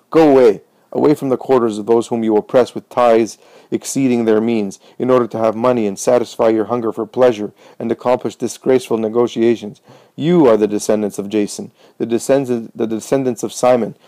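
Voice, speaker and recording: Rowdy crowd of poor townsmen yelling insults, pitched up and loud, dark voice, one man doing it. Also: gravelly, no room sound, full character voice. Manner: shouted insults and cries.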